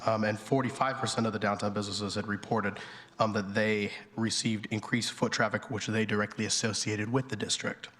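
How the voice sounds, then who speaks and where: A man with a hoarse voice from a cold, a town planning director presenting to a board with many ums and restarts. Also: on the low side, flat delivery, a little fast, a bit bright. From North Carolina. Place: town council chamber at a podium. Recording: long reverb in a council chamber.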